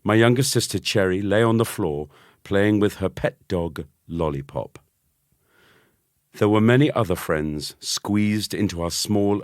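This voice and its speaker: A man reading a scripted children's story as narrator, with an expressive, wry delivery. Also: low-pitched, no room sound, clean studio sound. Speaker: audiobook narrator.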